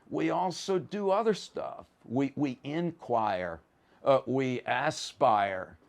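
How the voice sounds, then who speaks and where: A man giving an informal philosophy lecture in a deliberate, halting manner, leaning on key words. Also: medium pitch, darkish tone.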